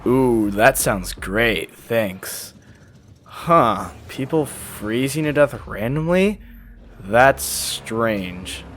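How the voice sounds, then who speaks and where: A man's voice: mid-range voice, animated, drawn-out words, fairly bright tone, slight background noise. A man playing an uneasy, guilt-ridden expedition member at home, speaking hesitantly in subdued audio drama dialogue. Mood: puzzled. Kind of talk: casual domestic drama dialogue.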